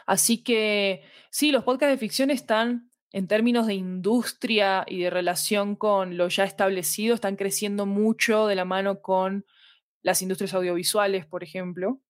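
A woman's voice: medium pitch, steady tone, moderate pace, dry recording, clean studio sound. A woman with a Latin American Spanish accent, talking reflectively and at length as a guest in a casual interview. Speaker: interview guest, audio fiction expert.

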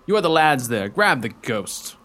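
A man's voice: mid-range voice, big pitch swings, moderate pace, bright, forceful tone. A man playing a gallant, self-assured rescuer and suitor in a radio play, with formal, declamatory delivery. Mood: confident, commanding.

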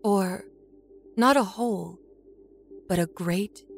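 A woman narrating a first-person horror story with deliberate, measured, dramatic intensity. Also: mid-range voice, soft background music. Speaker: storyteller, voice actor.

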